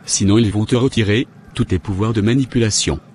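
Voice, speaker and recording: A man's voice: fairly low pitch, fairly quick, darkish tone, slight background noise, close to an everyday voice. A man voicing a callous, domineering servant of evil spirits in a cartoon. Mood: ruthless. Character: callous male henchman of evil spirits, giving orders. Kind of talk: dramatic animated dialogue.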